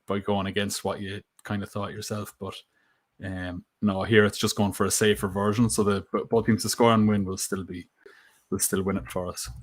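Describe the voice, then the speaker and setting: A man's voice: fairly low pitch, little pitch movement, moderate pace. Laid-back man talking through betting choices. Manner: thinks aloud, many fillers and restarts. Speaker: co-host and betting tipster. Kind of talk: casual live stream chat.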